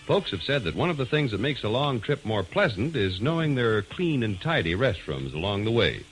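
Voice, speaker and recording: A man's voice: mid-range voice, very animated, a little fast, a little hiss or hum, low-quality recording. An American man announcing and narrating in a polished, emphatic mid-century radio style. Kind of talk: 1940s-50s scripted radio announcing and narration. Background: music.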